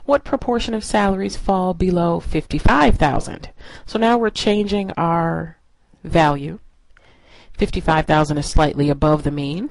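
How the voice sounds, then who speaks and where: A woman's voice: lowish voice, gravelly, darkish tone, no room sound, rough recording. A woman instructor narrating a lesson in an explanatory, step-by-step way. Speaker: statistics instructor.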